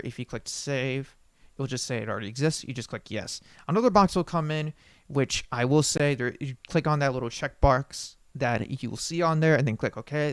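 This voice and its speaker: A man presenting an unscripted software tutorial in an informal, rambling way, often correcting himself as he goes. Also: mid-range voice, clear, resonant tone, dry recording.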